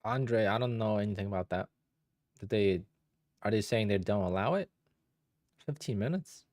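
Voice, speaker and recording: Low-voiced man chatting on a livestream. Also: normal speed, dry recording, studio quality. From Poland. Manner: relaxed, rambling, thinks aloud with restarts. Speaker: day trader streaming live. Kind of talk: casual livestream chat answering viewers.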